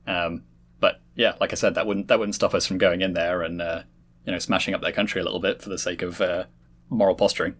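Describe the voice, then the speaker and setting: A man with a notably low voice speaks very quickly in a casual panel discussion. He rambles through his points and rephrases as he goes. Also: fairly even intonation, a bit bright. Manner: discursive, self-correcting. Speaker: podcast panellist.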